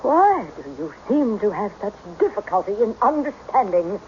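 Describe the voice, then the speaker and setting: A woman playing a mysterious, imperturbable self-styled sister to all mankind in a radio mystery, speaking formally and deliberately with quiet menace. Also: mid-range voice, very animated, natural voice. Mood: calmly sinister. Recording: dull, noisy old radio recording.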